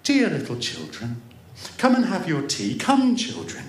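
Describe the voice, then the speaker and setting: A man voicing a gentle, loving mother, tender and sorrowful, in a storybook reading. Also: fairly high pitch, wildly swooping pitch, unhurried, voice pushed up. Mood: coaxing. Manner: coaxing, tender. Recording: roomy echo, audible background noise.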